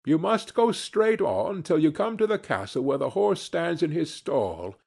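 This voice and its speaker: A man's voice: a little high, big pitch swings, measured pace, creaky, darkish tone, slightly rough, full character voice. A man voicing a wise, helpful talking fox in a storybook, giving formal, old-fashioned instructions. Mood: reproving, instructive.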